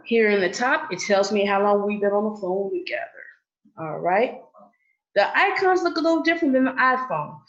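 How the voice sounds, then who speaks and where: A woman's voice: mid-range voice, lively intonation, moderate pace, a bit bright, muffled recording. A woman giving an online training session in a casual, chatty way, often checking in with "okay?". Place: indoors, on a video call. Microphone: video call.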